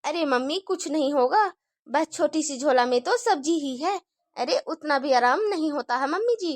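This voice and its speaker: Woman playing a sweet helpful younger daughter-in-law, pregnant, high dry voice, homemade skit. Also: animated, moderate pace, no room sound. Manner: polite, helpful and soothing. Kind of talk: homemade family drama skit.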